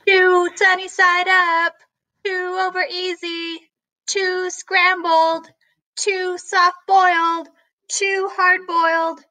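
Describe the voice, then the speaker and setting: A woman's voice: very high-pitched, pushed and bright, voice pushed up, full character voice, rough recording. A woman reading a storybook, voicing a frazzled fox waitress who exclaims diner orders. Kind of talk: storybook read-aloud.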